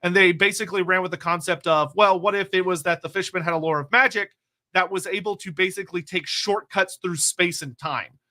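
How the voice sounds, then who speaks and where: A young adult man co-hosting a casual live stream, reading viewer questions aloud and rambling excitably about game lore, with casual swearing. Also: high-pitched, a little fast.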